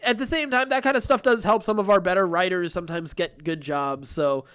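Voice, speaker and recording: A man's voice: sits high, fairly quick, fairly bright tone, clear, resonant tone. A man co-hosting a podcast, talking casually in an earnest, effusive and rambling way. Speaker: podcast co-host. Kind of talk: casual pop-culture discussion.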